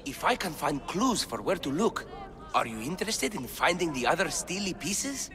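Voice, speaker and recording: Excitable, bookish video game scholar, higher register, very animated, in long reverb. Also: male voice, normal speed. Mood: eager, intrigued. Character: eager, bookish, excitable Greek scholar man. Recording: long cavernous reverb, faint ambient noise.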